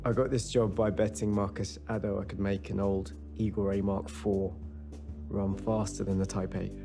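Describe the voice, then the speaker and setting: A man's voice: lowish voice, flat delivery, normal speed, music in the background, natural voice. A man playing a confident, boastful lead race engineer, talking informally in documentary-style game interviews. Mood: proud, confident.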